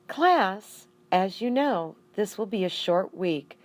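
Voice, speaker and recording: A woman's voice: mid-range voice, expressive, takes it slowly. A woman voicing a stern, businesslike female schoolteacher in a children's story, with a firm classroom address.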